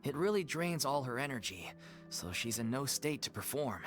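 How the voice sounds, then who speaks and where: A man's voice: medium pitch, sing-song, normal speed, a bit bright. An actor playing an earnest, apologetic male leader of an amateur theater troupe in a game cutscene. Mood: worried. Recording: game audio with faint music.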